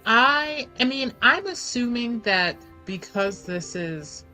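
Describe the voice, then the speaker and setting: A woman's voice: on the high side, expressive, slow pace, sharp tone, soft background music. A woman chatting casually as a player in a tabletop roleplaying game, informal and thinking aloud, with the odd swear word.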